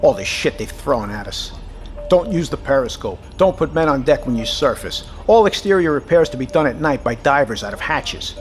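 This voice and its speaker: Sardonic, exasperated sub captain, acted by a man, animated. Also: medium pitch, moderate pace, soft background music, close to an everyday voice. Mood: irritated relief. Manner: profane, sardonic. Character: gruff, sarcastic, exasperated male submarine captain. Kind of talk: audio drama acting.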